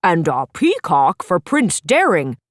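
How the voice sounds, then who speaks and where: Woman playing a gentle, slow-spoken bear teacher, storybook style. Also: on the low side, huge pitch swings, no room sound, studio quality, exaggerated voice. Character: slow, kindly male bear professor.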